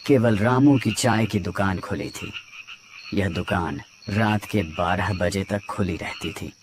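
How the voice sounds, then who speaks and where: A man's voice: fairly low pitch, measured pace. A man giving scripted narration for a horror story. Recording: clear background night ambience noise.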